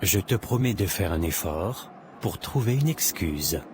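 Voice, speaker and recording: A man's voice: deep voice, lively intonation, a little slow, background noise. A man voicing a placating married man soothing his mistress in a cartoon.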